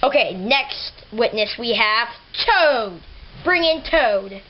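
A young woman with a high voice leads a homemade plush toy courtroom at an unhurried, playful pace, breaking into giggles. The recording is dull and lo-fi from a home camera, with faint hiss behind her. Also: expressive. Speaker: narrator and prosecutor of a toy show.

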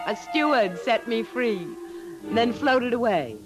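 Casting: teasing, idealistic American heroine, lightly high voice, 1950s radio romance, heavy music behind. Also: female voice, lively intonation, slow, low-quality recording. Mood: breezy. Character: spirited, teasing woman idealist, the hero's sweetheart. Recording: vintage radio drama, loud music underneath.

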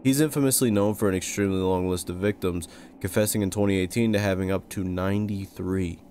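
A man reading scripted narration for a video essay in a measured, serious way. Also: sits low, fairly even intonation, warm tone, a little hiss or hum. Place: close-recorded voice-over with music behind.

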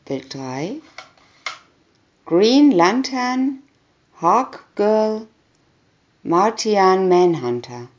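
Very slow-reading woman, lively intonation. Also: medium pitch, muffled recording. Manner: announces short captions one by one. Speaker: reader. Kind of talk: slow scripted reading of a children's picture booklet.

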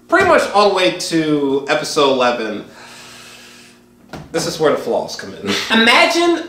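A man's voice: a little high, a bit bright. A man in his twenties giving a casual, emphatic, profanity-laced reaction review to camera. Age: 20s. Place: small home kitchen, indoors. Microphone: camera a few feet away. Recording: echoey kitchen, distant camera mic.

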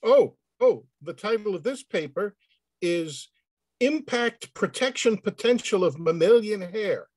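A man's voice: high voice, lively intonation, a little slow, a little mellow, no room sound. A man reading a research paper aloud as a dramatic reader on a video call.

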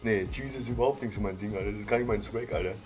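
A man's voice: on the low side, very fast, darkish tone, recorded from a distance, lightly acted. A man doing a cocky young rapper type who fires off slang at speed. He sits back from the mic, with music behind him. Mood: dismissive.

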